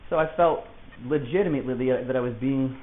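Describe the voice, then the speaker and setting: A man playing an agitated, rambling client who insists he is being targeted, talking in a halting, digressive, self-justifying way in a naturalistic audio drama. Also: medium pitch, lightly acted. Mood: agitated, aggrieved. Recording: hissy, tinny phone-like lo-fi recording.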